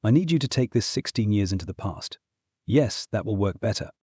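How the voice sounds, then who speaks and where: A man's voice: lowish voice, lively intonation, a little fast, darkish tone, husky, no echo, studio quality. A man playing a proud, scheming ex-god uncle, imperious and persuasive, in a fantasy audiobook. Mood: scornful, insistent. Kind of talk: full-cast fantasy audiobook acting.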